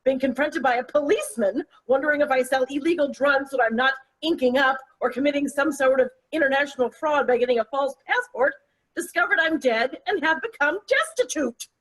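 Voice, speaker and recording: Indignant older mother played by a woman, high-voiced. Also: brisk, a bit bright, rough recording. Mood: exasperated. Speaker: actor in a virtual table read. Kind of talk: stage comedy-drama table read.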